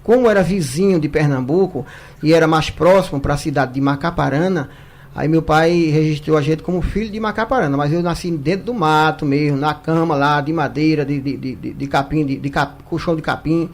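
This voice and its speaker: A man's voice: a little high, fairly quick. A man, a guest on a radio debate, telling stories in a jokey, chatty way and often repeating words as he starts. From Paraíba, Brazil. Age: 50s. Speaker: guest, singer. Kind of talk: radio studio debate, casual storytelling.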